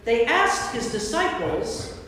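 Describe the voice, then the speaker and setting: A woman's voice: on the high side, big pitch swings, takes it slowly, a bit bright. Echoey woman narrating scripture. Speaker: narrator, Episcopal priest. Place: large church interior. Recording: very echoey church, distant mic.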